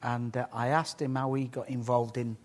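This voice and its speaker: A man interviewing a guest, asking halting questions with friendly teasing jokes. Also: mid-range voice, little pitch movement.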